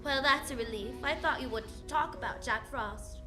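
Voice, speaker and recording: High-voiced wisecracking lady detective acted by a woman. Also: normal speed, sharp tone, faint music behind. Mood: brisk, wry. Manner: sardonic, snappy, quipping hard-boiled delivery. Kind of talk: old-time radio detective acting.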